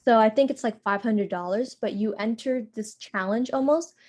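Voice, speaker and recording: A woman's voice: on the high side, moderate pace, a little mellow. A young woman giving a casual slide presentation on a video call, chatty and full of fillers. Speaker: workshop presenter.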